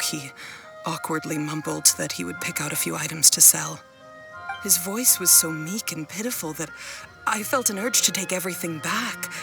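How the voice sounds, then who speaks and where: A woman's voice: mid-range voice, lightly acted. Woman acting as a worried, weary wife. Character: worried, weary wife and mother, gentle but firm. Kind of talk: video game voice acting.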